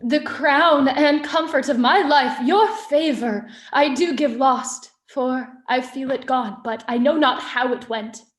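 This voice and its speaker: Wronged Shakespearean queen played by a woman: grieving yet defiant, declamatory stage delivery, raised pitch, echoing room. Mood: anguished, defiant. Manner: declamatory, deliberate, impassioned. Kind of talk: Shakespearean stage monologue in heightened verse. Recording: roomy, echoing space.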